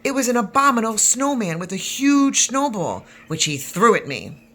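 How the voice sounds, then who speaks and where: A woman's voice: a little high, very animated, normal speed, fairly bright tone, pitched above the performer's own voice. A woman voicing an unflappable grandpa who tells a tall tale in a storybook. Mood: excited storytelling.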